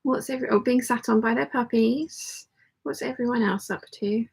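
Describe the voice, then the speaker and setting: Female livestream host, very creaky and husky, croaky delivery, loose chatty rambling style. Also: on the high side, normal speed, darkish tone. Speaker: host of a live sewing hangout. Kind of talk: casual livestream chat, reading out viewer comments.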